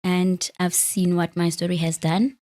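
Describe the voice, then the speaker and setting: A woman's voice: lowish voice, level tone, unhurried, mellow. A woman in her late thirties, a podcast host talking openly and with some self-deprecating humor in a conversational interview. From Free State, South Africa. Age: late 30s. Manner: open, self-deprecating, laughs easily.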